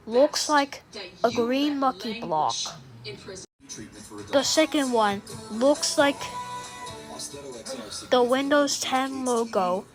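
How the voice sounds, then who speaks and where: A very high, slow female voice delivers a formal emergency broadcast as a grave president, acted by a woman in a homemade story. The phrasing is that of an official announcer reading scripted warnings, and loud music plays behind it. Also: full character voice, rough recording. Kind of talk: scripted emergency alert narration.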